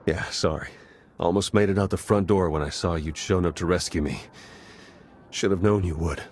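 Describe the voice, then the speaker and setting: A deep-voiced, battered spy played by a man in a sci-fi game. He talks in dry, clipped phrases, wry and sardonic but dependable. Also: moderate pace, a little mellow, a little hiss or hum, natural voice. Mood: urgent. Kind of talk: natural video game dialogue acting.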